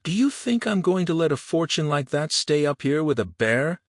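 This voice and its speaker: A man's voice: a little high, very animated, normal speed, no echo, clean studio sound, voice pushed up. A man voicing a sneering, pompous, cowardly shopkeeper in an Old West audiobook, indignant and flustered. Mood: shrill, desperate.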